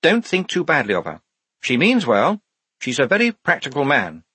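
A man voicing a brisk, practical woman army major with a measured, official manner in an audiobook. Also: medium pitch, huge pitch swings, pushed and bright, breathy edge, no echo, pitched above the performer's own voice, full character voice. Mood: confidential.

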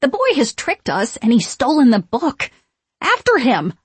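An imperious witch sister who leads by scolding, played by a woman narrator, with a loud, husky voice and a dry, close sound. She gives orders in old-fashioned phrasing, as in a storybook. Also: mid-range voice, wildly swooping pitch, measured pace, dry recording, heavily acted. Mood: scornful, commanding. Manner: commanding, scolding, archaic phrasing. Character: shrieking, imperious, bossy witch woman, leader of three sisters. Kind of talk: audiobook character voice.

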